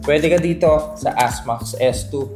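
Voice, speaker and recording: A man's voice: a little high, measured pace. A man with a Filipino accent giving a casual, conversational product walkthrough as a reviewer, explaining things and mixing in English phrases. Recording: music behind the voice.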